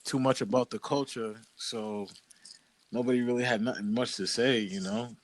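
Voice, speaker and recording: A man's voice: mid-range voice, steady tone, normal speed. A young man from Boston, co-hosting a podcast and telling stories in a loose, slangy, casual way. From Boston, USA. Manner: laid-back, loose, slangy storytelling. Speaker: podcast co-host. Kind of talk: casual chat.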